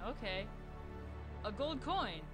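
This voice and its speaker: A woman's voice: fairly high pitch, expressive, takes it slowly, music in the background. A woman playing a sarcastic, grumbling young female creature, newly dead, in a comic fantasy radio play, with a blunt, irritable delivery.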